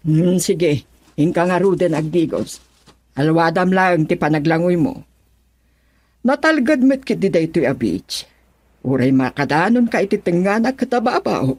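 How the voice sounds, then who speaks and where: Husky, expressive Filipino mother. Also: lowish voice, unhurried, no echo. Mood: calm, caring. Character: mother, concerned and questioning wife. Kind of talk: Ilocano radio drama acting.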